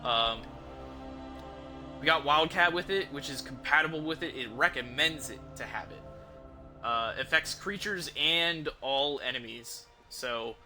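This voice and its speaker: A man's voice: mid-range voice, a little slow, pushed and bright. A man hosting a game livestream, chatting to viewers in an informal, rambling, explanatory way without a script. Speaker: livestream host, gamer. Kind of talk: casual unscripted chat to stream viewers. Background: music behind the voice.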